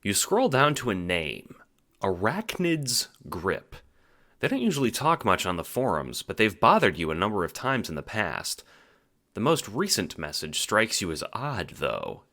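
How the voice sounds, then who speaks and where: A man's voice: lowish voice, huge pitch swings, measured pace, bright, forceful tone. A man narrating an audio drama as scripted, dramatic second-person storytelling.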